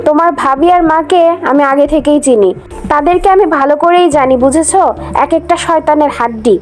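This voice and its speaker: Cartoon new bride, played by a woman, very high voice, haughty, headstrong, quick to argue. Music behind. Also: close to an everyday voice. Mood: haughty, irritated. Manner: haughty, argumentative. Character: defiant, headstrong young new bride, youngest daughter-in-law. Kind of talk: Bengali cartoon voice acting.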